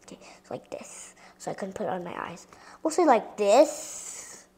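A female voice giving a chatty tour of a toy dollhouse playset, addressing viewers directly and sometimes dropping into soft whispers. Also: medium pitch, sing-song, moderate pace, husky. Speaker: toy reviewer.

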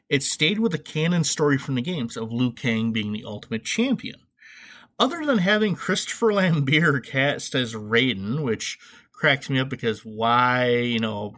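A man's voice: medium pitch, pushed and bright. A middle-aged man hosting a casual, comedic movie review podcast in an opinionated, wry, informal way. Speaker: podcast host.

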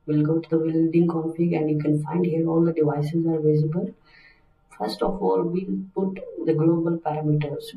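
A man narrating a software tutorial as a technical instructor, step by step with halting phrasing. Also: a little high, dull audio. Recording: roomy, distant microphone.

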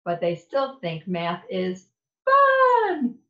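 Slow, animated woman reading a picture book. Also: a little high. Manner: animated, deliberate, playful. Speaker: storybook reader. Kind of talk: expressive children's picture book read-aloud. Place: indoor room at home. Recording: echoey room, dull lo-fi sound.

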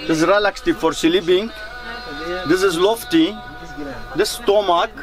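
A man's voice: sits high, fairly even intonation, measured pace, music in the background. A man in his thirties, a non-native English speaker, showing products in a small shop with clipped lists in broken English. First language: not English. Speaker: tea shop vendor. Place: small spice and tea shop. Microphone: handheld camera a step away.

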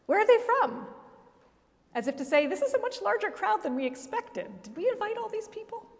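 A middle-aged woman pastor preaching a sermon at a pulpit, telling the story expressively with dramatic pauses. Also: very high voice, very animated. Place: large church, at a pulpit. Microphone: gooseneck pulpit mic. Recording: long church reverb.

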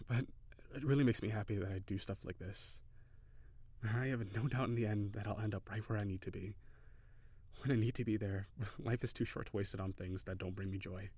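A man playing a cheery, shy, flirty kitsune barista in an intimate audio roleplay, speaking hesitantly and giggly, with gentle encouragement. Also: lowish voice, fairly even intonation, a little fast, slightly rough, no room sound. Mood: earnest, encouraging.

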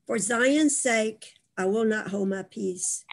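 An older woman reciting scripture and prayer in a solemn, measured way over a video call from home. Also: a little high, vocal fry. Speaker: prayer group member. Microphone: video call device mic.

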